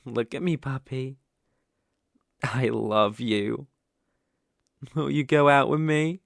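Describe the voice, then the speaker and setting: A man's voice: mid-range voice, creaky, no echo, clean studio sound. A British man acting a dominant, teasing, possessive young bully in love in an intimate ASMR roleplay. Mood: tender, possessive. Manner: slow, teasing, commanding.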